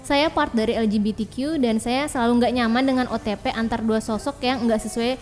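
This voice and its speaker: Young woman podcast cohost, high voice, giggly, echoey room w faint music behind. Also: fairly even intonation, fairly quick. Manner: giggly, chatty, slangy. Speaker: podcast co-host. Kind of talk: casual chat. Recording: hall reverb with faint music.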